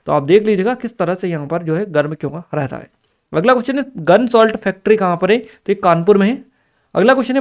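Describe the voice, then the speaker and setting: A man giving an instructional exam review, reading out questions and answers and listing points repetitively with small check-in tags. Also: high-pitched. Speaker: exam coaching teacher.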